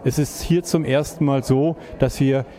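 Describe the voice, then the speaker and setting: A congress attendee answering an interview earnestly, searching for words. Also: male voice, mid-range voice, fairly even intonation, moderate pace, dark tone.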